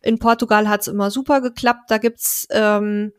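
A woman co-hosting a casual podcast, chatting and reading listener mail aloud, often pausing on "ähm". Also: mid-range voice, fairly even intonation, smooth, no room sound, clean studio sound. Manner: chatty, fills pauses with "ähm". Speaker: podcast co-host.

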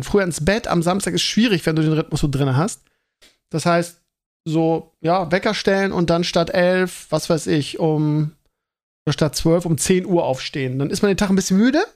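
Higher-pitched man, chatty podcast host. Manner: rambling, self-interrupting, colloquial. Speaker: school teacher. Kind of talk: casual solo podcast monologue.

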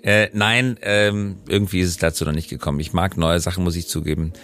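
A man's voice: low voice, fairly even intonation, a little fast, fairly bright tone. A man hosting a podcast in a conversational style, with a wry, playful manner. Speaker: podcast host.

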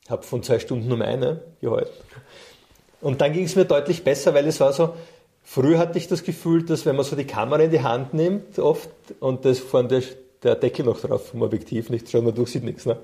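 A middle-aged man speaks as an interview guest with a mellow, relaxed tone. He is a coach and trainer who tells personal stories in a conversational way, at an average male pitch. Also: moderate pace, vocal fry.

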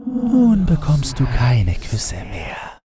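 A man voicing a tall, icy Snow Queen in a fairy tale, slow, deliberate and coaxing. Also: mid-range voice, wildly swooping pitch, over music, pitched above the performer's own voice, full character voice. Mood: softly menacing. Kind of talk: fairy-tale audiobook character.

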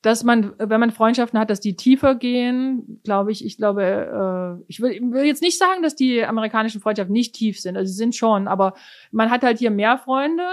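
A woman's voice: on the high side, normal speed. Middle-aged German woman, thoughtful talk. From East Germany. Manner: thoughtful, with frequent fillers and restarts. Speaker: orchestra cellist, interview guest. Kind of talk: casual conversational interview.

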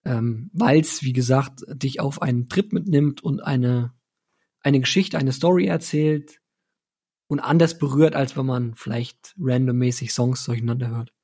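A man co-hosting a podcast, discussing music casually in a measured, easygoing way. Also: mid-range voice, dark tone, breathy edge. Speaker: podcast co-host.

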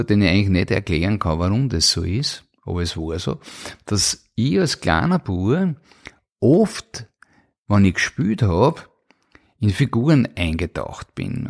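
Low, creaky-voiced Austrian man telling stories. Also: a little mellow. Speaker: interview guest. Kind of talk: casual autobiographical storytelling.

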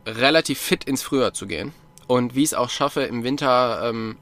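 A man's voice: mid-range voice, moderate pace, fairly bright tone. A man chatting casually as a podcast co-host about cycling, rambling with many fillers and restarts. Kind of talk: casual chat.

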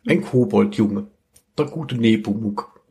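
A man hosting a casual podcast, thinking aloud in a halting, discursive way. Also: lowish voice, gravelly, warm tone. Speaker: podcast host.

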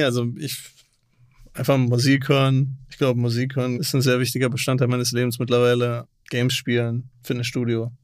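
A man's voice: medium pitch, dry recording. Informal, joking man on a podcast. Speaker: podcast guest, consultant. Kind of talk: casual chat.

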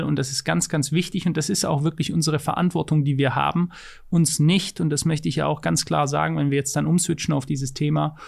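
A man's voice: on the high side, vocal fry. A man co-hosting a podcast, speaking in an earnest, motivational way while searching for words. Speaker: podcast co-host. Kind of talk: casual podcast conversation.

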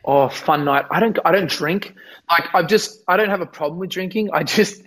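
A young man talks through an interview in a fairly high voice that sounds a little worn from losing it. He rambles with lots of restarts and pokes fun at himself. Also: moderate pace, fairly bright tone. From Australia. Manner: rambling, self-deprecating, many restarts. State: losing his voice. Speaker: musician guest. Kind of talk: casual interview chat.

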